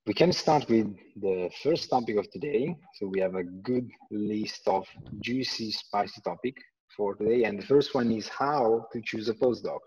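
A man in his thirties from Italy, talking in a low, husky voice. He co-hosts an online panel in a relaxed, joking way and pauses often to think. The audio is muffled and sounds distant from the mic on a video call. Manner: relaxed, joking, thinks aloud with many fillers. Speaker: co-host and postdoc. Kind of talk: casual online panel chat.

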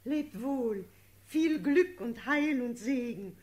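A woman's voice: high voice, lively intonation, slow pace, a little mellow. An actress playing a gracious, motherly noblewoman in a classical radio drama, with formal manners.

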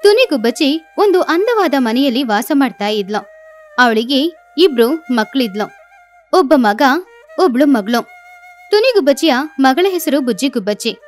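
A woman reading scripted children's cartoon stories in an expressive storytelling manner. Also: high voice.

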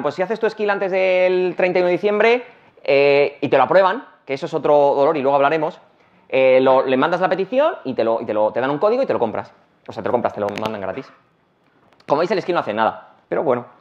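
A man from Madrid with a Madrid accent giving a casual technical talk on stage, talking rapidly with many restarts and fillers. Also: a little high. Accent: Madrid Spanish. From Madrid, Spain. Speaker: conference speaker. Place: large conference hall, on stage. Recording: dull lo-fi conference recording.

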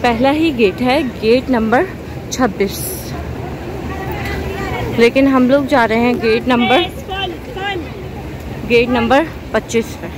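A woman with a Pakistani Urdu accent gives a soft, devout, explanatory tour as she walks through a large crowded mosque, talking into a handheld phone camera. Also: a little high, takes it slowly, pauses often. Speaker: vlogger. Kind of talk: walking tour vlog narration. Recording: noisy crowd ambience.

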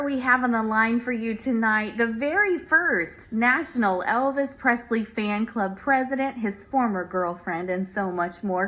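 A woman with a fairly high, bright voice hosts an online radio show. She speaks in a presenter's style, moving between announcements and interview questions. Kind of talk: upbeat show introduction and interviewing. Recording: live internet stream.

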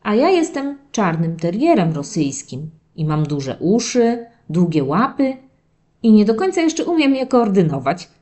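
Woman as an animated puppy storyteller, unhurried. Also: mid-range voice. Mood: excited, mischievous. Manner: expressive, drawn-out dramatic telling addressed to children. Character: playful young male terrier puppy narrating his diary. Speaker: narrator of a children's audio story series. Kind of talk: animated first-person children's storytelling.

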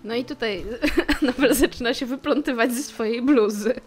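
Female tabletop player, casual delivery, fairly high voice over faint music. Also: normal speed. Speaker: role-playing game player. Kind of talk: casual in-character game chat.

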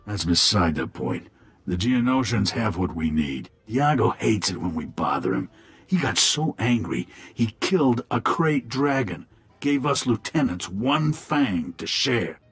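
An actor playing a shady alien gangster lieutenant in a video game, with a husky, gravelly voice. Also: male voice, fairly low pitch, sing-song, unhurried, a bit bright, no echo, soft background music, full character voice. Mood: businesslike, grim. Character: shady alien male gangster lieutenant running a desert club. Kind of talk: video game dialogue acting.

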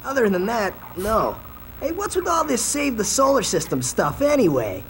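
An actor playing a brash, youthful furry alien mechanic in a video game, casual and cocky. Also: male voice, high voice, very animated, normal speed, background noise. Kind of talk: video game cutscene acting.

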